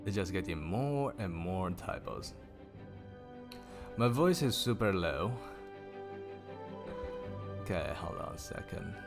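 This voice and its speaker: Deep gravelly man reading aloud. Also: very animated, slow pace, pauses often, music in the background. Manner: stumbles and swears at typos, laughs; lifts pitch for quoted characters. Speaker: streamer reading a story aloud. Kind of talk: casual livestream book reading.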